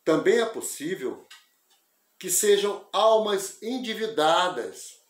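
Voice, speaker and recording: An older man giving a spiritist lecture over a video call, speaking in a measured, reflective and explanatory way through an earphone mic in a small room at home. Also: high voice, sing-song, echoey room. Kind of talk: religious lecture. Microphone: wired earphone mic on a video call.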